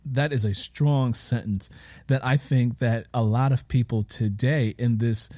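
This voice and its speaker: A man hosting an interview in a reflective, conversational way, deliberately building and restating his questions. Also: medium pitch, steady tone, darkish tone, no room sound, clean studio sound. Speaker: interview host.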